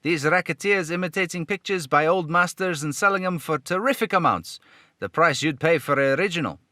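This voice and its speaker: A man voicing an eager, chatty police sidekick in an old radio serial style, excitable and folksy. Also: a little high, fairly quick, dry recording, studio quality, voice pushed up.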